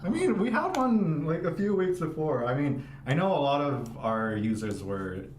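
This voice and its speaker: Co-host, man, animated intonation, hesitant and self-correcting, echoey room with steady noise. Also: a little high, normal speed. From Korea. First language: Korean. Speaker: co-host of a game livestream. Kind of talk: casual livestream chat.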